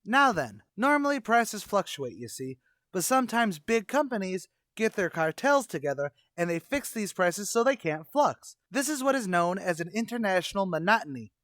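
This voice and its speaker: Brash, know-it-all tavern boss played by a man for old-time radio comedy; swooping, raised pitch, colloquial bluster. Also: sing-song, normal speed, a bit bright, no echo, studio quality. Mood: pompous lecturing. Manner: pompous, malapropism-prone, colloquial. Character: brash, self-important tavern manager mangling big words.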